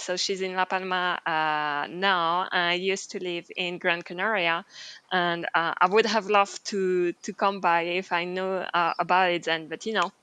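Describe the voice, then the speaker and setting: A woman's voice: lowish voice, pushed and bright, clean tone, no room sound. A woman hosting a podcast interview in casual chat, a native French speaker who talks chattily with many fillers and restarts. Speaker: podcast host.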